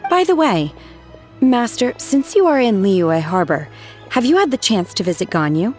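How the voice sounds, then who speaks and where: A woman playing a calm, earnest young disciple in a video game, speaking politely and matter-of-factly. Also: fairly high pitch, moderate pace. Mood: warm, earnest. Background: background music.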